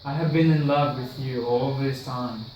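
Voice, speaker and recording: A man acting as a devoted, self-loathing gentleman in love, in an amateur period drama scene. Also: mid-range voice, steady tone, normal speed, lo-fi sound, lightly acted. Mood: solemn, lovelorn. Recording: echoey room, far from mic, faint noise.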